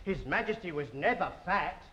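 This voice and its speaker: Fussy, high-pitched, fawning royal tailor. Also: male voice, animated, moderate pace, bright, forceful tone. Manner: gushing, obsequious, effusive. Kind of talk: theatrical period comedy acting. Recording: dull, echoey, noisy recording with crowd.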